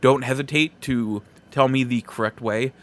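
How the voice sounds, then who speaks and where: A man hosting a solo podcast, giving casual commentary in a halting, rambling way and repeating himself for emphasis. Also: mid-range voice, fairly even intonation. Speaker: podcast host.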